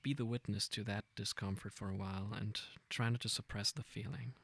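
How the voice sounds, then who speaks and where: A man leading a guided meditation, speaking gently and informally in an unhurried, intimate way. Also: lowish voice, fairly even intonation, creaky, husky. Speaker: meditation guide, podcast host.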